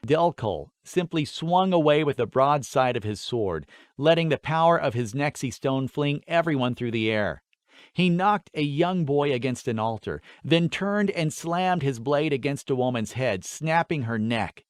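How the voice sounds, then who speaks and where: A man narrating a fantasy audiobook from a script, with deliberate, dramatic phrasing. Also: fairly high pitch, no room sound, clean studio sound.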